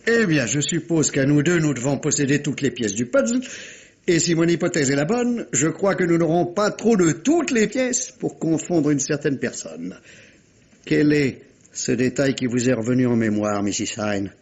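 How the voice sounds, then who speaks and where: Shrewd police inspector, dull vintage recording. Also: male voice, a little high, lively intonation, darkish tone, slightly rough. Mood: composed, polite. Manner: deliberate, questioning, ironic. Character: shrewd, authoritative male police inspector, dryly sardonic. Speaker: actor. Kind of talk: 1950s French radio mystery acting.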